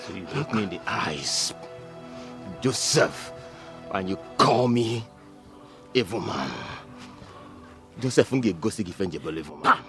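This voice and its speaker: Proud, threatening Igbo older brother, Nigerian film: sharp, animated delivery, switching languages. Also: a little high, moderate pace, faint music behind, full character voice. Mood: furious, threatening. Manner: switches between English and Igbo.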